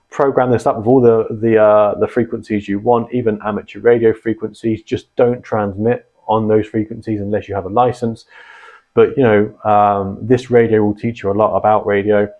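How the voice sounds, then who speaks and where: A man hosting a casual explainer on radio gear, rambling and filling pauses with ums and restarts. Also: lowish voice. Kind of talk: casual explanatory vlog talk. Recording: dull lo-fi sound.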